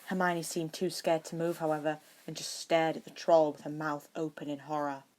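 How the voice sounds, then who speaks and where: A woman with a low-pitched voice reads fiction aloud. She delivers scripted narration in the manner of an amateur audiobook. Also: flat delivery, moderate pace, darkish tone, slight background noise.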